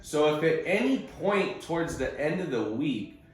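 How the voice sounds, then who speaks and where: A man's voice: a little high, very animated, unhurried. Blunt male trading coach in echoey room. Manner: blunt, insistent, emphatic repetition. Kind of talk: informal instructional monologue on a group call. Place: conference call recording in echoey room.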